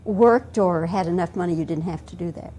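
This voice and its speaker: A woman's voice: on the low side, brisk, mellow. A woman who works as a television interviewer, asking questions in a friendly, conversational way. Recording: faint background noise.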